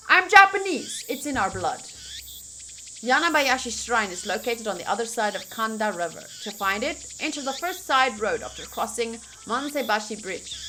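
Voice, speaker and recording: A woman's voice: a little high, pushed and bright, slight background noise. A woman game streamer reading a visual novel aloud theatrically, mixed with casual reactions. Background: faint game music.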